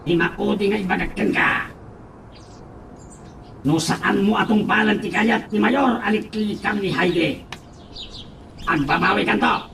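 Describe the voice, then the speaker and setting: Man acting high-pitched, creaky Ilocano henchman. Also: unhurried, long pauses, fairly bright tone, heavily acted. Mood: menacing. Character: menacing male henchman boss, taunting bully. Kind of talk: Ilocano radio drama acting. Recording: roomy, distant, faint background noise.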